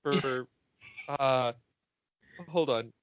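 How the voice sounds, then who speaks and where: A man's voice: mid-range voice, steady tone, drawn-out words, smooth, highs cut off. A man chatting casually as a podcast co-host, swearing and being playfully melodramatic. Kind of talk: casual fan podcast chat.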